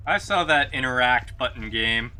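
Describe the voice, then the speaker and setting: A man's voice: medium pitch, moderate pace, sharp tone, a little hiss or hum. A man streaming a video game and making dry, wry comments as he plays. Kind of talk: casual gameplay commentary.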